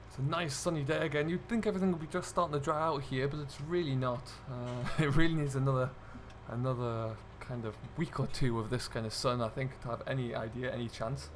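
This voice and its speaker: A man's voice: medium pitch, steady tone, a little fast, few pauses, a little hiss or hum. A man giving a chatty, easygoing running commentary to viewers as he plays a farming game. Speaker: host, gaming video creator. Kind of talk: casual let's-play commentary of a farming simulator.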